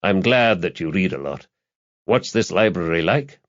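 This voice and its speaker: A man's voice: deep voice, expressive, moderate pace, muffled recording. A man voicing a middle-aged police detective father in an audiobook, guarded but reassuring, with terse fatherly replies. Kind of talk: audiobook character dialogue.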